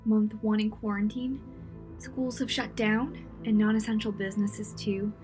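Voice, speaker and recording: A woman's voice: mid-range voice, flat delivery, a little slow, a little mellow, faint music behind, natural voice. A young woman playing an anxious, lonely quarantine diarist in an audio drama. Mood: weary, worried. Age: young adult. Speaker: student voice actor. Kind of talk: audio diary drama acting.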